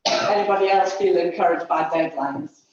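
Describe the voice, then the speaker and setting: A woman's voice: on the low side, steady tone, moderate pace, very noisy. A woman leading an open discussion at a public event, with many hesitant fillers. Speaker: event facilitator. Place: large room at a public event. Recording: echoey hall, distant mic, dull.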